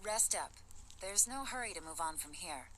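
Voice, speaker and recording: A woman playing a cool, self-assured adventurer in a video game, speaking in a measured, matter-of-fact way. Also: on the low side, expressive, heavy background noise, natural voice. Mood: composed, brisk.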